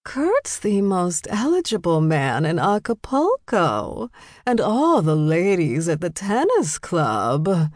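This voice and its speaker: Female narrator as tipsy society woman, middle-aged, slurred and drawn-out words, nosy, effusive, audiobook character. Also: fairly low pitch, huge pitch swings, darkish tone, no echo, studio quality, full character voice. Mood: nosy, slurring. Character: tipsy, gossipy, giggling middle-aged Canadian socialite woman.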